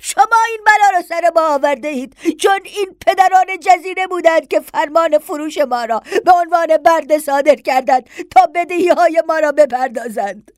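A woman's voice: extremely high pitch, few pauses, dry recording, clean studio sound, voice pushed up. A woman voicing a distraught, fiercely loyal servant woman in an audiobook, urgent, insistent and pleading. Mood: angry, distressed. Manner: impatient, urgent, voice climbing louder. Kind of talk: dramatized audiobook dialogue.

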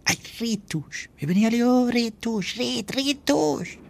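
A man doing a shrill, frantic hothead. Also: breathy edge. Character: excitable panicky man, hiding on a hilltop.

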